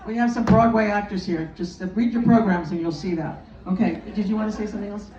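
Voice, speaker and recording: A woman moderating a question-and-answer session after a staged reading, speaking briskly in short fragments. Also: mid-range voice, a crowd in the background. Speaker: moderator of post-show Q&A. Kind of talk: casual Q&A discussion. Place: theater auditorium. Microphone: handheld mic from afar. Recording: echoey, distant, dull room recording.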